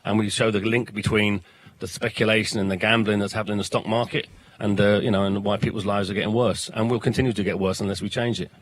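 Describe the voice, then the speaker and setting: A man in his fifties with a South London accent, a guest at a political festival talking fast and forcefully, often repeating words as he starts a sentence. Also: fairly low pitch, fairly even intonation, low-quality recording. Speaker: political education organiser, guest. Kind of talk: passionate interview talk, swearing.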